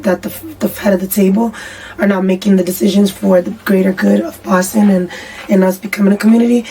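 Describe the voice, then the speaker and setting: A young woman musician in a casual interview, with a raspy voice, talking candidly in slang and lots of fillers. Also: medium pitch, mellow. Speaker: musician guest. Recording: distant mic with faint background noise.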